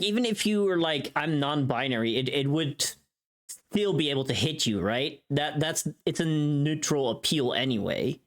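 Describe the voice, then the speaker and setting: Lively, sweary streamer chatting casually. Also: medium pitch. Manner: sweary, joking. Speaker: streamer making a voice pack. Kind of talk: casual livestream chat.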